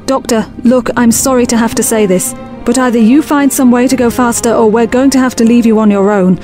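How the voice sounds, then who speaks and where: A woman playing a brisk, practical young team leader in an audio drama, speaking with blunt authority. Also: a little high, steady tone, warm tone, faint music behind, natural voice. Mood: urgent command.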